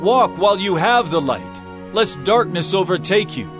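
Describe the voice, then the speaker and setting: Earnest bible savior, solemn n emphatic, higher voice, music behind him. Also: male voice, expressive, normal speed. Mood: urging. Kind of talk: dramatized audio Bible acting.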